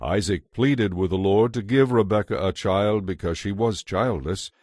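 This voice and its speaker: Low-voiced man narrating scripture. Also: moderate pace, darkish tone, no room sound, studio quality. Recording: music behind the voice.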